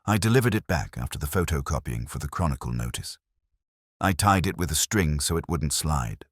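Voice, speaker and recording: A man's voice: a bass voice, expressive, a little fast, slightly rough, no room sound, clean studio sound, heavily acted. A man voicing an earnest, flustered young woman office worker with a defensive manner in a mystery story.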